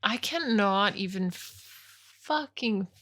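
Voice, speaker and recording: A woman's voice: mid-range voice, expressive, drawn-out words, fairly bright tone. A woman in her thirties co-hosting a casual podcast, talking bluntly into a podcast microphone, teasing her partner and swearing freely. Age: 30s. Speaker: podcast co-host. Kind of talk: casual chat.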